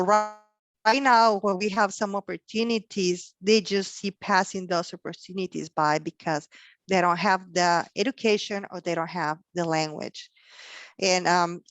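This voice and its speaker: A woman's voice: fairly low pitch, no room sound. Woman with a Mexican accent, dry sound. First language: Spanish. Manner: halting, many fillers and restarts. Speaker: career panel speaker. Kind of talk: career talk to students.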